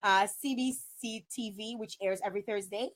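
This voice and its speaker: A woman's voice: medium pitch, fairly even intonation, moderate pace. A woman in her thirties, a talk show host chatting casually and expressively on a video call. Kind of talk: casual chat interview. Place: indoor room on a video call.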